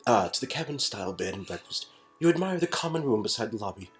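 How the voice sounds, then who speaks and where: A woman's voice: a bass voice, big pitch swings, fast, soft background music. A woman reading interactive game narration informally, in a casual, joking manner. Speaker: narrator and dialogue reader.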